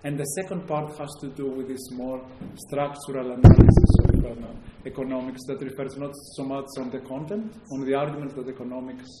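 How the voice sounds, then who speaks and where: A man's voice: medium pitch, little pitch movement, a little fast, hardly any pauses. A man with a Greek accent giving an academic talk to a live audience, hesitant, with many fillers and restarts. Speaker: lecturer. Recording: very echoey room, faint background noise.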